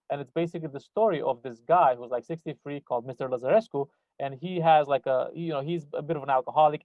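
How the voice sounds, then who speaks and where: Young guy from romania rambling fast on a podcast, lots of uh and like, thin dry phone-ish sound. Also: mid-range voice, no room sound, narrow, tinny audio. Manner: rambling, heavy fillers, swears. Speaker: podcast host. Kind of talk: casual chat.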